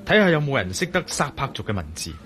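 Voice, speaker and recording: A man's voice: mid-range voice, big pitch swings, fast talker, a bit bright. An actor playing a determined male adventurer in a Cantonese radio drama, speaking firmly and decisively with a Hong Kong accent. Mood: serious, firm. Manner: firm, urgent, decisive. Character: determined, commanding male adventurer on an urgent mission.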